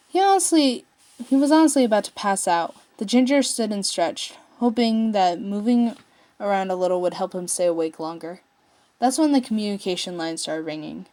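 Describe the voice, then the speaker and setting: A woman reading fiction aloud as an amateur storyteller, with an expressive, emphatic and slightly stumbling delivery. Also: mid-range voice. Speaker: amateur fan fiction reader.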